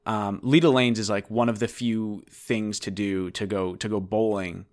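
A young man in casual chat who restarts his sentences and stumbles along. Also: lowish voice. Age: young adult. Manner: halting, restarts often, lively.

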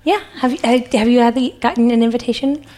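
A woman's voice: a little high, fast, dark tone, lightly acted. A woman playing an eager, modest young champion in improvised radio theater, speaking in a hesitant, earnest, gushing way. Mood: excited, nervous. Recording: music playing over the voice.

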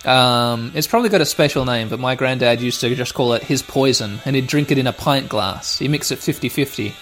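Slightly hoarse, rambling man over music. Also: medium pitch, a little fast, fairly bright tone. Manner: rambling, sweary, mock-dramatic. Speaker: let's play host. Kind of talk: casual gameplay commentary with nostalgic asides. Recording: music playing behind the voice.

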